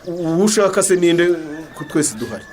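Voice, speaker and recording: A man's voice: sits high, sing-song, fairly quick. An actor playing a busy father of a schoolgirl in a radio drama. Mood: concerned. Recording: noisy track with ambient sound.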